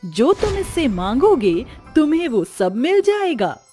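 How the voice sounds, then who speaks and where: Woman as gracious goddess, high voice, solemn, benevolent, storybook fable, music behind. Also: big pitch swings, a little slow, warm tone. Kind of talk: dramatised moral storytelling.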